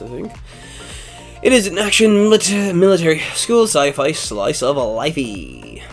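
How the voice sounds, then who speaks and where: A man with a fairly high voice and a lively, bouncing delivery reads anime plot summaries, then muses aloud about them in a loose, chatty way. Faint music plays behind him. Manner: animated, wondering aloud. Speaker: anime YouTuber. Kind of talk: reading synopses and casual commentary.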